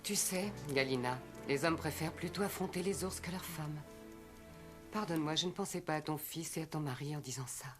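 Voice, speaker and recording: An actress playing a gentle, wry hunter's wife in a French cartoon. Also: female voice, sits low, a little fast, music in the background, close to an everyday voice. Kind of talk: French animated series dubbing.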